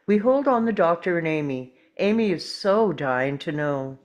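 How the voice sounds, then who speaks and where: A woman's voice: on the low side, expressive, normal speed. A woman narrating screen directions from a script in the present tense at a table read. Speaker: stage directions reader.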